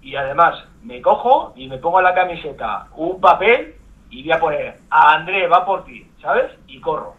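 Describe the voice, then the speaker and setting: A man's voice: a little high, lively intonation, moderate pace. A man from Spain on a telephone call, arguing in a defiant, insistent and repetitive way. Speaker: amateur runner receiving a prank call. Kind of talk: heated phone argument. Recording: dull lo-fi phone call.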